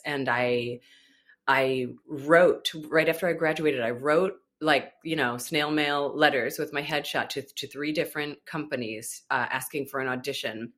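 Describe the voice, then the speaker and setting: A woman's voice: deep voice, fairly bright tone. A woman in her thirties, a guest actor, telling a story in a casual interview and acting out her own past thoughts.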